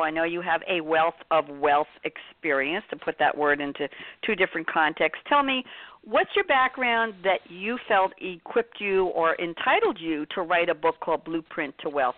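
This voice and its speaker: A woman's voice: fairly low pitch, no room sound. A bubbly woman hosts a radio talk show, chatting with a guest and laughing easily. Her voice is dry and close, squeezed through a thin, phone-like band. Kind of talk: casual radio interview. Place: radio studio in New York. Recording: phone-quality radio audio.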